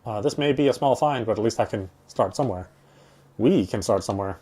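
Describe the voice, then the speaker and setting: A man reading a horror visual novel aloud in an expressive, first-person storytelling manner. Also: fairly low pitch, brisk, husky. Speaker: let's-player reading a game aloud. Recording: game music behind the voice. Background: game music.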